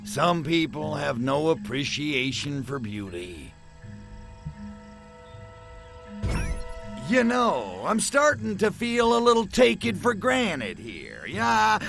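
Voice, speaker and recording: A small, sarcastic gray alien with an American drawl. The voice is full of gravel and takes its time, sliding up and down as it cracks wise. It is game cutscene acting with music behind it. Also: male voice, a little high, huge pitch swings, takes it slowly, hardly any pauses, exaggerated voice. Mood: brash, cocky. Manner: sarcastic, brash, drawling wiseguy. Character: small gray alien invader, cocky wisecracking male.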